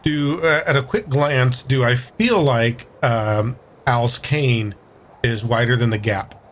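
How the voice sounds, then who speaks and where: A man's voice: mid-range voice, takes it slowly, a bit bright. A man talking casually as a player in a tabletop game, tentatively thinking his moves through aloud.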